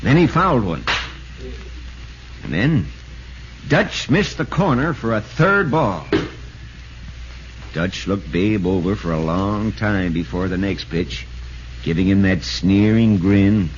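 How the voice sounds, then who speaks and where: A man's voice: lowish voice, expressive, takes it slowly, pauses often. An American man playing a sportswriter who narrates a ballgame in 1940s radio drama style. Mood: tense, suspenseful. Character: excitable male sportswriter recounting a ballgame. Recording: muffled, noisy 1940s radio recording.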